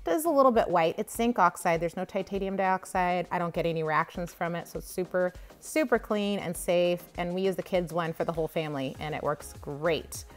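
A woman's voice: on the low side, brisk, few pauses. A woman chatting casually and enthusiastically as she vlogs her shopping, recorded on a handheld phone inside a grocery store. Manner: enthusiastic, gushing over products. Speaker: vlogger giving a grocery haul tour. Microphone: handheld phone behind the camera. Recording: store ambience noise, faint music behind.

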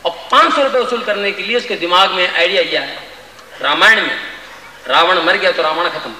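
A man's voice: high-pitched, brisk, pushed and bright. A middle-aged man doing stand-up, telling a comic story casually into a stand mic on a large stage at night. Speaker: stand-up comedian. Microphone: stand mic, far camera. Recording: echoey distant live stage recording, noisy.

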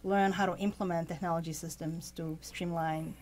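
A woman's voice: lowish voice, steady tone, darkish tone, a little hiss or hum. A woman in her forties from Poland, a guest on a radio show, explaining methodically into a studio mic. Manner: methodical, halting with fillers. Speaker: guest, tech co-founder. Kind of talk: radio interview. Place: small padded radio studio. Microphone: studio mic with pop filter.

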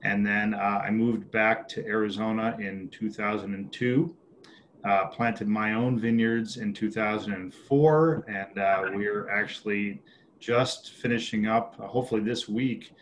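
Hesitant man with a low voice on a video call. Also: steady tone, measured pace, fairly bright tone, slight background noise. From born in Chicago, raised in Boston. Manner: hesitant, filler-heavy. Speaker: winemaker. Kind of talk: casual webinar introduction.

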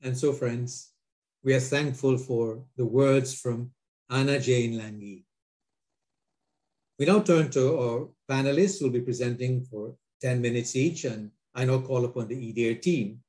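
A man's voice: medium pitch, measured pace, darkish tone. An older man moderating a session, reading and introducing formally on a headset over a video call. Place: online video call, indoors. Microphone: earphone headset.

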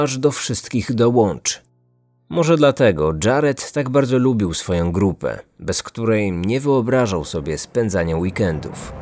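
A man's voice: mid-range voice, darkish tone, no echo. A man hosting a podcast and reading scripted true-crime narration. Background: faint music.

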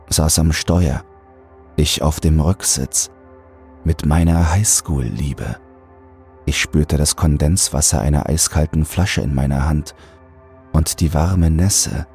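A man reads a horror story as a dramatic narrator. His voice is extremely deep and his delivery slow, measured and suspenseful. Quiet music plays underneath. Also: creaky. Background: soft music.

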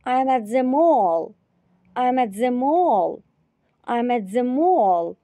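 A woman teacher repeating short phrases slowly and carefully in a repetition drill. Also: on the high side, expressive, dark tone, dry recording. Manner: repeats each phrase three times, carefully.

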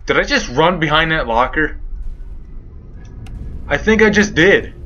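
A man's voice: a little high, lively intonation, unhurried, sharp tone, highs cut off. A young man doing rambling, joking commentary while playing a horror game. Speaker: gaming youtuber. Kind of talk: let's play commentary.

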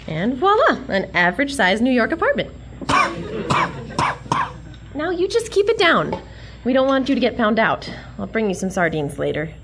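A woman's voice: medium pitch, a little hiss or hum. A woman playing a plucky, wisecracking young museum worker in a comic radio drama, perky and sassy. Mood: tender, chatty.

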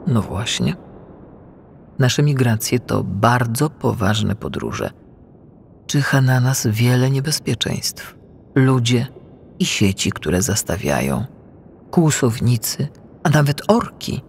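Man reading as slow, gentle whale mother. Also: mid-range voice, sing-song, gravelly, a little hiss or hum, full character voice. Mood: cautioning. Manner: patient, cautioning, tender. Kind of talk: storybook reading.

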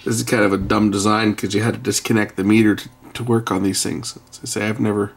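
A man's voice: fairly low pitch, little pitch movement, quick pace, breathy edge. A middle-aged man giving casual, blunt, slightly grumbling commentary while doing hands-on repair at an indoor workbench. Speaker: repair video host. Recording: faint background noise with clicking.

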